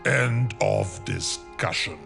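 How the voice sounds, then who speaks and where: A man playing a stern, cold military father and boss in an animated comic dub, with a gravelly voice and a formal, clipped, unyielding manner. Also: fairly low pitch, takes it slowly, full character voice. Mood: stern, dismissive. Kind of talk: animated comic fandub acting. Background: music.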